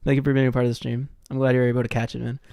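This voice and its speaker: A man's voice: mid-range voice, flat delivery, rapid-fire, gravelly, a little mellow, smooth, dry recording, clean studio sound. A man streaming live, reacting to a video and chatting loosely with viewers. He laughs often, swears, and repeats words as he talks. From Costa Rica. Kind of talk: casual live-stream commentary.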